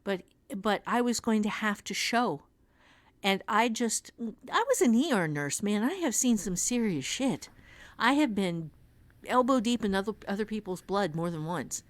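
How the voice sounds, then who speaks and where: A woman's voice: mid-range voice, expressive, normal speed, no echo. A middle-aged American woman speaks in a mid-range voice as she shares stories in a candid conversation. She is blunt and emphatic, with a dramatic way of recounting things, like a writing teacher talking from experience. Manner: emphatic, dramatic, blunt, quotes remembered dialogue. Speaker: author and writing teacher, guest.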